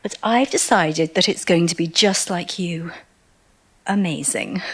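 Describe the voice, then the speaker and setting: Breathy, adoring young woman. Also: fairly low pitch, a little slow. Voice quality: breathy, hushed. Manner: gushing, coaxing. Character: adoring, eager, soft-hearted young woman on a date. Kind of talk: intimate audio drama acting.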